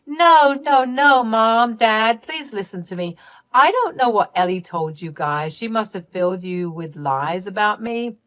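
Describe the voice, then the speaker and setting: A woman narrator acts out a scheming, spoiled younger sister in a dramatic story. She is indignant and whiny, pleading and accusing melodramatically in a higher, louder voice. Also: lively intonation, measured pace. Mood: panicked pleading.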